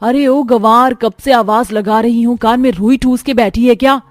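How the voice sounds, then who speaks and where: A woman's voice: on the high side, fairly even intonation, moderate pace, dry recording, studio quality, voice pushed up, lightly acted. A woman voicing a rude, haughty young stepsister who scolds shrilly, a cartoon villain. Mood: irritated.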